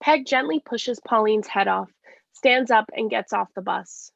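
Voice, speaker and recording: A woman's voice: fairly high pitch, unhurried, creaky, highs cut off. A woman reading stage directions as scripted narration.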